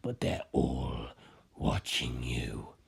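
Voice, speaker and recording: Bottomless, gravelly superhuman villain. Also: male voice, exaggerated voice. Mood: menacing. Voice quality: very deep, gravelly, husky. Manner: slow, theatrical, superior. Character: ancient male superhuman villain, menacing and contemptuous of humans. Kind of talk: dramatized audiobook character voice.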